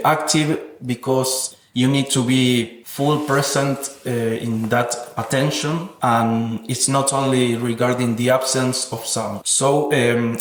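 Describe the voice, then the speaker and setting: Man speaking evenly in an echoey room. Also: medium pitch. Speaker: interviewed sound artist. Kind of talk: reflective interview about own work.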